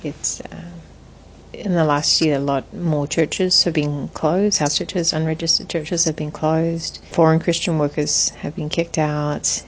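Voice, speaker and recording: A woman in her forties, a guest in an interview, talking in a thoughtful, measured way with frequent restarts. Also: deep voice, flat delivery, slight background noise, poor audio. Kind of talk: reflective interview conversation.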